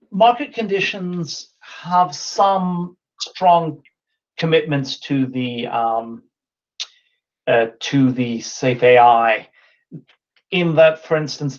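A man in his thirties giving an online talk and answering questions, thinking aloud with restarts and fillers, over a webcam video call. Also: mid-range voice. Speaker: invited speaker. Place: indoor room with whiteboard. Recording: dull lo-fi video call audio.

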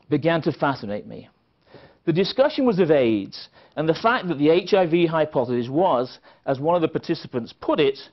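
A middle-aged man from England, giving a keynote speech at a conference and telling stories with dry, wry asides. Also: a little high. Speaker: conference speaker. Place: conference hall with a seated audience. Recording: dull, lo-fi sound.

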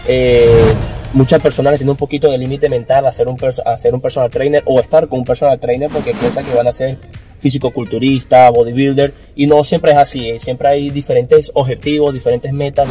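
A man's voice: medium pitch, little pitch movement, fairly quick, warm tone, soft background music. A young man from Caracas with a Venezuelan Spanish accent, a personal trainer answering interview questions in a car, hesitant, with many fillers. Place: passenger seat of a car.

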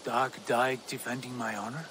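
A man's voice: mid-range voice, little pitch movement, moderate pace, noisy background, close to an everyday voice. An actor playing a haughty, wounded Viking lord who believes he is a god, speaking solemnly and grandly in a dramatic video game. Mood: cold.

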